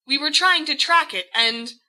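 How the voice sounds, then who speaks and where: A woman's voice: high-pitched, measured pace, pushed and bright, voice pushed up, exaggerated voice. A woman voicing an apologetic young husband in an audiobook, nervous and hesitant, prone to rambling. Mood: panicked, flustered.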